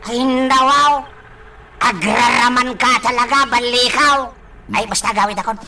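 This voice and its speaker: A woman's voice: on the high side, measured pace. A woman playing a whining, reluctant underling protesting in a comedic radio drama, full of exclamations. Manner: whiny, exclaiming. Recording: loud background noise.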